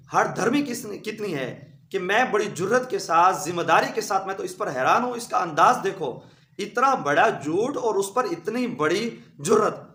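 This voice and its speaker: A man's voice: high-pitched, sharp tone. A man, an Islamic cleric with a sore throat, giving an indignant, emphatic rebuttal speech. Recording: echoey room.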